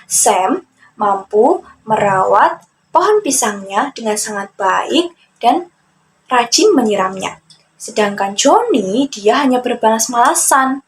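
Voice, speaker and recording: Young female storyteller for kids' fable, slow delivery, slightly high, faint background noise. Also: lively intonation. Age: young adult. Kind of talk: children's fable narration, addressing listeners.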